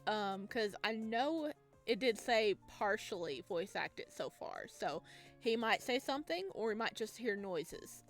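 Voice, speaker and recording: A woman reading a video game's text aloud and chatting with expressive, excited reactions as she plays. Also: mid-range voice, unhurried. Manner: chatty, expressive asides between read lines. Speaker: video game streamer, let's player. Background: game music.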